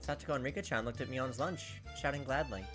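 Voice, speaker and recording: A man's voice: mid-range voice, quick pace, a bit bright, faint music behind. A man hosting a visual novel playthrough, reading narration aloud and commenting casually. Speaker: gaming video host.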